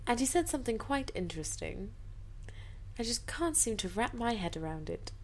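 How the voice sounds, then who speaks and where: A woman's voice: on the high side, sing-song, moderate pace, a little hiss or hum, voice pushed up. A woman voicing a stern, domineering male lover in a fanfiction reading, commanding and controlled. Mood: calculating, sad.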